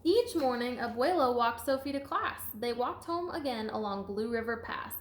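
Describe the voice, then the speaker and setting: A woman reading a children's picture book aloud with expressive emphasis and chatty asides to listeners. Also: fairly high pitch, moderate pace. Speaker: storybook reader. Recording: echoey room.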